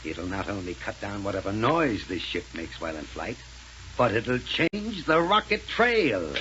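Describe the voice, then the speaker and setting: An actor playing a commanding, self-assured space villain who gives curt orders in a 1930s radio serial. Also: male voice, on the low side, very animated, unhurried. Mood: smug, assured. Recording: muffled 1930s radio recording, faint noise.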